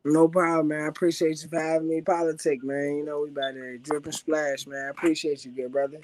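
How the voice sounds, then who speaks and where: A young man from the Washington, D.C. area with a raspy voice, a rapper talking casually as an interview guest and filling his speech with "you know what I'm saying.". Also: fairly high pitch, little pitch movement, quick pace, background noise.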